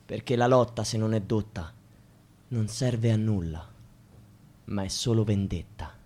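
An actor playing an impassioned protester, declaiming rhymed verse in a rhetorical way in a radio drama, calling on the people. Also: male voice, lowish voice, a little slow, darkish tone. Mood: solemn appeal. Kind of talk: theatrical radio drama monologue in rhythmic verse. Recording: music behind the voice.

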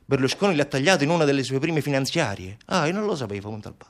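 A man's voice: medium pitch, expressive, quick pace, close to an everyday voice. An actor playing a sarcastic, indignant man in a theatrical monologue, arguing colloquially with an unseen friend through rhetorical questions. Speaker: stage actor.

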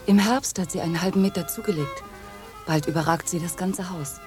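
An actress playing a dutiful young student, a farmer's daughter, in a radio play. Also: female voice, fairly low pitch, brisk, darkish tone, faint music behind, lightly acted. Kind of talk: German radio drama acting.

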